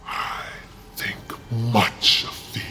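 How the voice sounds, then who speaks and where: A man voicing a towering, menacing sea-creature shaman king in a slow, grandiose, gravelly and breathy growl with archaic wording. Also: loud music behind the voice. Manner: slow, archaic, pompous speech.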